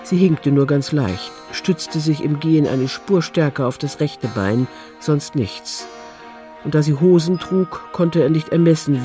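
A man's voice: medium pitch, mellow. Male radio drama narrator over music.

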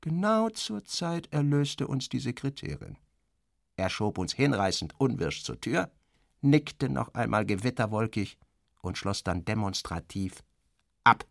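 A man giving a theatrical first-person reading of a literary satire, wry and animated, with dramatic pauses. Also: medium pitch, vocal fry, no room sound, clean studio sound.

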